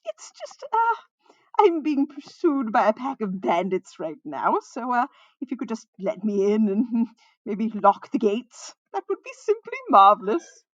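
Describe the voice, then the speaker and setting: A woman voicing a gushing, theatrical male minstrel in a storybook, with drawn-out, melodious exclamations. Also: a little high, big pitch swings, no room sound, lo-fi sound. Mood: sheepish, pleading. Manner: singsong, drawn-out, effusive exclamations.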